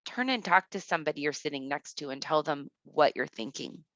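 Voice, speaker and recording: A woman teacher reading aloud to kindergartners, speaking deliberately and instructively, with asides addressed directly to the children. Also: sits low, lively intonation, a bit bright, no echo, highs cut off.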